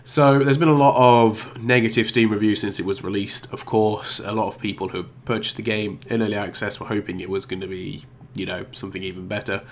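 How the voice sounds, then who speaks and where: A man's voice: lowish voice, steady tone, a little fast, hardly any pauses. A man with a blocked nose from a cold, hosting a casual gaming vlog, rambling and hesitant with many ums and restarts. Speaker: YouTube gaming channel host.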